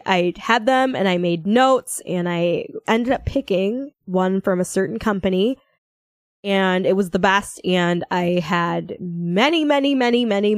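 A woman's voice: mid-range voice, no echo, studio quality. A young American woman from Minnesota in casual chat, rambling playfully with a giggly manner. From Minnesota, United States. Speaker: podcast co-host.

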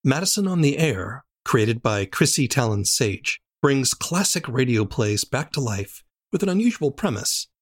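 A man's voice: medium pitch, very animated, measured pace, hardly any pauses. A man hosting a podcast, reading scripted introductions in a narrator's style. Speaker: podcast host.

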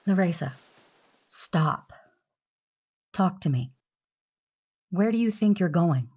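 A woman playing a steadfast, protective and tender sister in an audio drama, speaking earnestly and with measure in a husky, creaky voice. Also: fairly low pitch, animated, slow pace, darkish tone, heavily acted. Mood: firm, pleading.

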